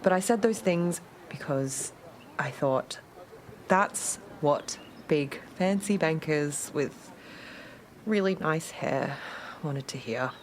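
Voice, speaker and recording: A woman's voice: low voice, takes it slowly, slight background noise. An actress playing an awkward, jilted woman in her late thirties, leaving hesitant, rambling, emotionally exposed voicemails in a radio drama. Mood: wounded, candid.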